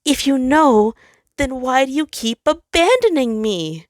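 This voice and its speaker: Casting: woman playing a wounded male Sun in human form, high-pitched, pleading, bitterly hurt, for narrated fiction. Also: expressive, measured pace, no echo, clean studio sound. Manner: pleading, crying out in hurt anger. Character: the Sun as anguished male star in human body.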